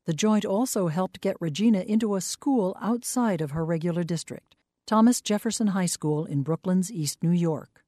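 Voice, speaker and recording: Woman, documentary narrator, mellow and low, dry studio read, even pacing. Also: no room sound. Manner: measured, even delivery. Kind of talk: scripted narration.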